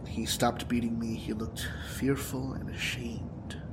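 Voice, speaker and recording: A man's voice: mid-range voice, flat delivery, a little slow. An actor playing a bitter, wounded young male supervillain in an audio drama, taunting and dramatic in manner. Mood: wounded, intense. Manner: taunting, dramatic, profane, breaking into whispers and laughter. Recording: clear background noise and ambience.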